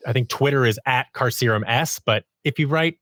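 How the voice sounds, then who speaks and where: A man's voice: medium pitch, brisk, fairly bright tone, no room sound, clean studio sound. A man speaking as a podcast guest in casual interview chat, in a chatty, rambling way that often interrupts itself. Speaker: podcast guest, audio drama producer.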